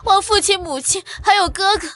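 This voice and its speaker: A woman's voice: extremely high pitch, normal speed, bright, forceful tone, no echo. An actress playing a scheming, manipulative young noblewoman in a costume drama. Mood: meekly sorrowful.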